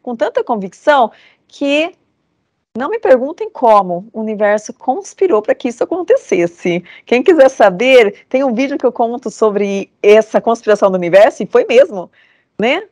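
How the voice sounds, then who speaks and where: A woman's voice: a little high, very animated, normal speed, darkish tone, dry recording. A woman in her forties from Brazil, giving an earnest, emphatic motivational talk to students over a video call. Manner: earnest, emphatic, repeats phrases for conviction. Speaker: lecturer.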